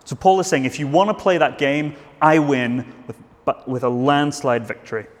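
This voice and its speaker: A man's voice: fairly high pitch, normal speed, long reverb tail. A man preaching a sermon in a church, earnest, with frequent restarts and fillers.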